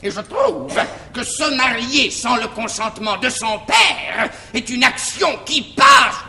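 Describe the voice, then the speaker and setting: A man's voice: extremely high pitch, sing-song, gravelly, pushed and bright, exaggerated voice. An actor playing a fussy, suspicious old bourgeois father in a classical French stage farce, declamatory, emphatic and self-satisfied. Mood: puzzled, alarmed. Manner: declamatory, deliberately drawn out, emphatic. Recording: echoey 1961 stage recording, faint noise.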